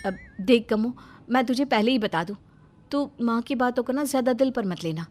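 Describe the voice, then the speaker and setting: A woman voicing an exasperated, sharp-tongued young working wife who speaks emphatically in a dramatized story. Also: on the high side, fairly quick, a little hiss or hum, lightly acted. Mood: advising. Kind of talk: dramatized Hindi moral story.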